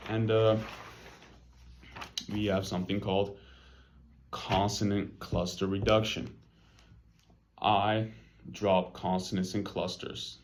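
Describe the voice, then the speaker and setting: A young man with a Los Angeles accent talks through a class presentation in a relaxed, slangy way, full of fillers. His voice sits low, and he takes his time. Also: slow pace, pauses often, slight background noise. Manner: casual, slangy, with many fillers. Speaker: student presenter.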